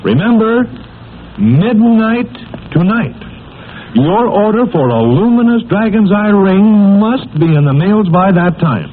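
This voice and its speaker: A narrow, slightly noisy vintage radio recording features an American male announcer. His voice is fairly high, and he delivers scripted lines with urgent, emphatic salesmanship in 1940s style. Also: expressive, unhurried.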